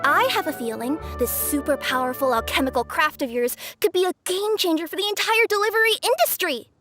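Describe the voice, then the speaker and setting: A woman's voice: extremely high pitch, a little fast, music in the background. A woman voicing a perky, chatty young cat-girl courier in a video game, bubbly and emphatic. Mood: excited. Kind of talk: English video game voice acting.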